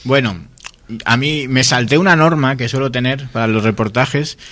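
Guy with a spain accent just chatting, telling stories, quotes people as he goes, animated. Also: mid-range voice, moderate pace. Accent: Spanish, from Spain. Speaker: guest, science video creator. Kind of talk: casual chat, telling anecdotes.

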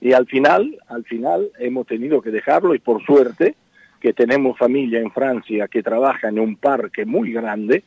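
A man's voice: mid-range voice, moderate pace, darkish tone, slightly rough, dry recording. Spanish man with Italian accent, dull sound. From Spain. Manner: hesitant, repeats words, says "mire" often. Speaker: circus owner. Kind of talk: radio interview answers.

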